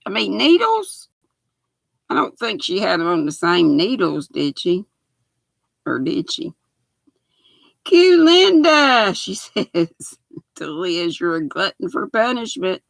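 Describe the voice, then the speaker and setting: An older woman with a raspy voice, chatting folksily with her livestream viewers while she sews. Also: mid-range voice, big pitch swings, slow pace, pauses often, dry recording. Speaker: knitting livestream host. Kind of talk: casual live chat while sewing.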